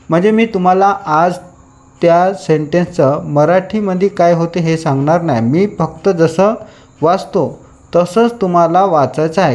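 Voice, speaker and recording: A man with a Marathi Indian accent teaching a lesson, deliberately reading out practice sentences for learners to repeat. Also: a little high, darkish tone. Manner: deliberate, repetitive, instructing listeners to repeat after him.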